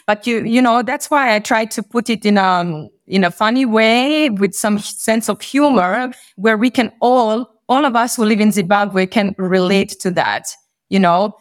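A woman from Bosnia and Herzegovina, a native Bosnian speaker, talking as an interview guest in a halting way with many pauses. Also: medium pitch. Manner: fluent but halting, many ums and restarts. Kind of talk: conversational interview.

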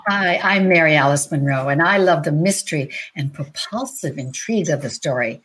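A woman's voice: sits low, expressive, moderate pace, fairly bright tone, breathy edge. A woman co-hosting a book show in a polished, presentational way. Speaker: author and show co-host.

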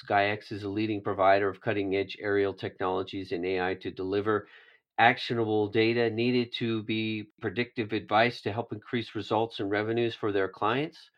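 Upbeat low-voiced podcast host. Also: male voice, flat delivery, normal speed, lo-fi sound. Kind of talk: scripted intro and ad read, then interview questions.

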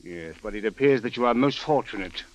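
A man's voice: lowish voice, animated, a little fast, natural voice. A man playing a formal, exasperated Egyptian police captain in a 1940s radio drama, using stiff, precise phrasing. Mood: grave, measured. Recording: dull old radio broadcast.

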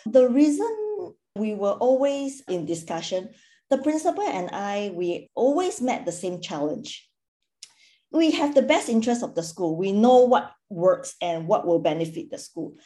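A woman's voice: mid-range voice, unhurried. A middle-aged woman from Singapore, a school board chairperson answering interview questions earnestly. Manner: earnest, animated, acts out quoted remarks.

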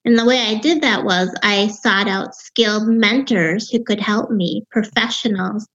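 A woman in her fifties with a Wisconsin accent and a young-sounding voice, telling her own story as an interview guest in a reflective way, with halting restarts. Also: medium pitch, a bit bright, dull audio. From Wisconsin, USA. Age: 50s. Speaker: author and grief guest.

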